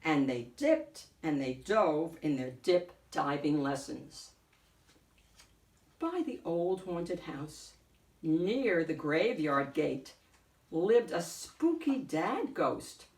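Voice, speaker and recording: A woman reading a picture book aloud as host, in a deliberate, theatrical storytelling way. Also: on the low side, very animated, darkish tone, recorded from a distance. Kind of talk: expressive picture-book narration. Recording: echoey room, off the mic.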